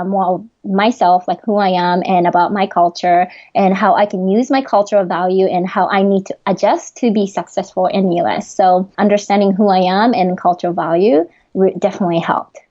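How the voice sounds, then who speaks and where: Guest, young woman, Japanese accent, mellow voice, many fillers, lo-fi sound. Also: mid-range voice, steady tone, normal speed. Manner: earnest, laughs often, frequent fillers. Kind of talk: podcast interview.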